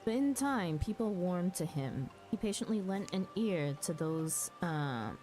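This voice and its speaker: A woman chatting casually as she streams a game, halting and muttering asides to herself, sometimes reading dialogue aloud. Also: on the low side, animated, warm tone. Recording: music behind the voice. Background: background music.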